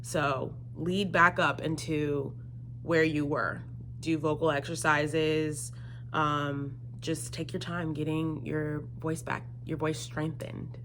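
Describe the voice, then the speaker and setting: A woman's voice: low-pitched, slight background noise. A woman in her twenties chatting casually to viewers as a singer-songwriter answering questions, explaining things in a conversational way. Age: 20s. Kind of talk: casual solo Q&A chat to camera.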